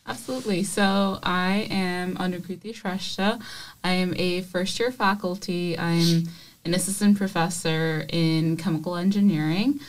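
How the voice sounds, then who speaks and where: Young woman from nepal on a podcast, kinda low voice, thinks as she talks, lots of ums. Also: steady tone. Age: young adult. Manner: measured, thoughtful, with frequent um fillers. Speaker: assistant professor of chemical engineering, guest. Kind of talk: casual interview answers.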